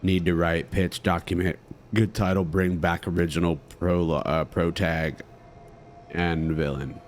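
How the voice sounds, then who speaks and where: Deep-voiced man casually commenting on a game. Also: flat delivery, a little slow, slight background noise. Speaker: gamer playing and commenting. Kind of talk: casual let's play commentary, reading game text aloud.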